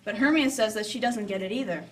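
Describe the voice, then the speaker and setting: A woman's voice: fairly high pitch, fast talker. Woman narrator, slightly distant, playful. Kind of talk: scripted story narration with playful asides. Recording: slightly distant, faint background noise.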